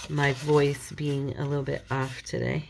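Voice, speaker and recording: Low gravelly woman with a slight cold. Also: flat delivery, measured pace, background noise. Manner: chatty, self-deprecating, talks while working. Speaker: paper crafter. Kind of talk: casual crafting tutorial.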